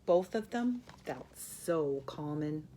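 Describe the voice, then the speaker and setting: An older woman reads a children's picture book aloud in a gentle, expressive way, with asides to a young listener. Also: sits low, slow, a little hiss or hum. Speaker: grandmother storyteller.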